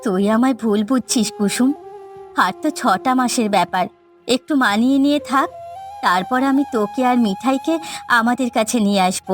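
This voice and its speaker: Woman playing placating older mother, lower register. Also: moderate pace, natural voice. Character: older mother on phone, soothing but evasive. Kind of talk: Bengali cartoon family drama acting. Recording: background music.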